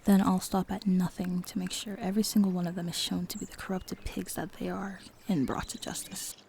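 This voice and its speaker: A woman's voice: fairly low pitch, level tone, a little fast, creaky, darkish tone, slight background noise. A woman playing a principled, determined hero and loyal ally in an audio roleplay, speaking earnestly and resolutely. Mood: fiercely resolute.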